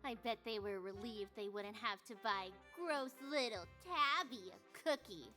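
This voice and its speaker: A woman's voice: on the high side, unhurried, sharp tone. A woman voicing a resentful, defiant schoolgirl magical girl in a visual novel, bitter and blunt. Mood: bitter, hurt. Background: faint music.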